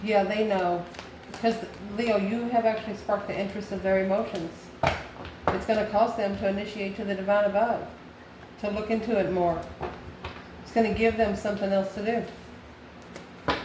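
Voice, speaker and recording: A woman's voice: medium pitch, fairly even intonation, moderate pace, long pauses. A woman giving an intuitive tarot reading to the viewer, musing aloud in short, repeated phrases and questions. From United States. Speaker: tarot reader, channel host. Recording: echoey room with clear background noise.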